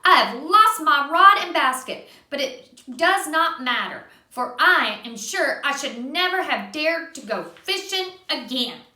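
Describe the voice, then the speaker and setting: A woman reading aloud, voicing a fussy, polite gentleman frog from a storybook in a prim, proper manner. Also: high voice, lively intonation, unhurried, exaggerated voice. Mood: relieved. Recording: echoey room, voice off-mic.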